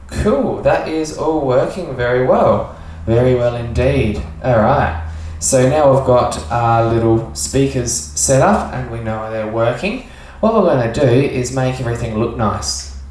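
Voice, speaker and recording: Aussie guy doing a casual how-to, slow and halting, far from mic, super echoey room. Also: medium pitch, expressive, darkish tone. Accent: Australian. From Australia. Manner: informal, halting, talking while working with hands. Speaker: sound engineer giving a demonstration. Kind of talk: casual hands-on tutorial. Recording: echoey room, distant mic, faint noise.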